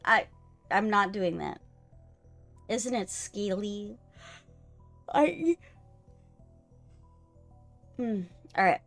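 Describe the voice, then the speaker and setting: Lively, playful woman streamer. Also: mid-range voice, very slow, vocal fry, pauses often, faint music behind. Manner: playful, teasing. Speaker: streamer hosting a co-op visual novel playthrough. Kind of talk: casual livestream banter.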